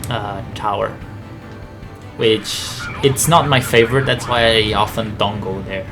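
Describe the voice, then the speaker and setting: A man giving casual, rambling, explanatory gameplay commentary on a video game. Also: medium pitch. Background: game music and aircraft sounds.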